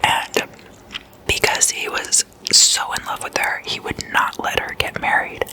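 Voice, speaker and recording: An ASMR host speaking in an unvoiced, breathy whisper close to the microphone, telling stories casually and softly repeating words. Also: a little slow, rough recording.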